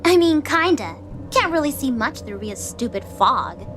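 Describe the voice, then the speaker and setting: A woman plays a playful young goddess in an audio drama. Her voice is high and very lively in pitch, with a slangy, teasing manner that gushes and needles like someone easily bored. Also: a little slow, soft background music, heavily acted.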